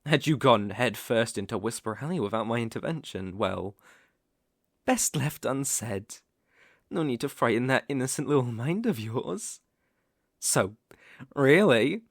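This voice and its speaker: Guy doing a british werewolf gentleman for asmr, courtly n insinuating, sinister under the manners, really dry close sound. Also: mid-range voice, expressive, no echo. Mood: menacing, coaxing. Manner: courtly, formal, measured, insinuating. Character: smooth-talking sinister male werewolf posing as protective gentleman. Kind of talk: ASMR roleplay audio acting.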